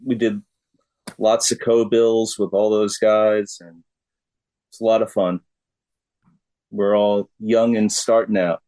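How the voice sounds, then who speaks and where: A man's voice: on the low side, flat delivery, takes it slowly, long pauses, dark tone. A middle-aged man answering questions as a guest in a casual interview.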